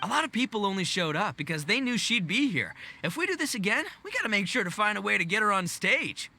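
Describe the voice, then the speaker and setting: An actor playing a brash, boisterous rock-loving oni gang boss in a video game, with gravelly, slangy swagger. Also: male voice, high voice, very animated, fast, bright, forceful tone, full character voice. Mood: hyped, friendly. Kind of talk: animated video game voice acting.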